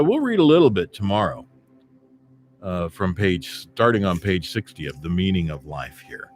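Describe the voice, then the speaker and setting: A male morning radio host talks in a relaxed, chatty way, his low voice rising and falling a lot. He muses aloud and drops in the odd filler while he speaks. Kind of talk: morning radio talk, reading from a self-help book.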